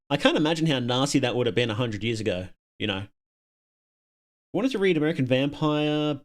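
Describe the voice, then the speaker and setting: A man hosting a casual livestream, chatting to viewers and thinking aloud in a rambling, opinionated way. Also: mid-range voice. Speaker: livestream host.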